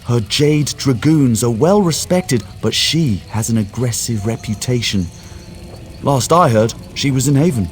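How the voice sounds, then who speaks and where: A man's voice: mid-range voice, expressive, unhurried, a little hiss or hum, natural voice. An actor voicing a cautious, scholarly male mage in a video game, speaking formally and precisely. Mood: thoughtful, explanatory. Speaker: quest-giving character.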